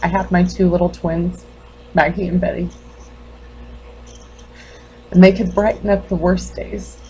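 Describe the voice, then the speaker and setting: A woman playing a grieving, defensive mother in a dramatic monologue, halting and intense. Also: fairly low pitch, flat delivery, mellow, slight background noise, voice pushed up, rough recording. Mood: anguished, grieving. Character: grieving, defensive mother confronting her doctor.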